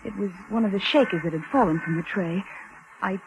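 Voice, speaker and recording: Through clear old-radio noise comes a weary young American car hop, played by an actress. She speaks in a mid-pitched voice, plain and wry, confiding in the listener with an anxious edge in 1940s suspense-drama fashion. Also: brisk, lightly acted. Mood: confiding, uneasy. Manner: wry, confiding, working-class plain talk. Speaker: narrator and lead character. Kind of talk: 1940s radio suspense acting, first-person narration.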